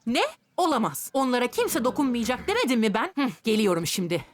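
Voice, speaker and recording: Strict cartoon camp boss lady, indignant, commanding, theatrical, high voice with big swoops. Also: sing-song, brisk, noisy background. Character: strict, bossy adult female camp director, indignant. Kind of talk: Turkish cartoon dub acting.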